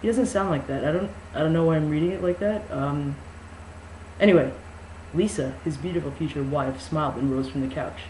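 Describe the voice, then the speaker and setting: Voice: mid-range voice, a little fast, warm tone. Amateur narrator, mid-range voice, mock-dramatic novel reading, casual asides, echoey room, faint background noise.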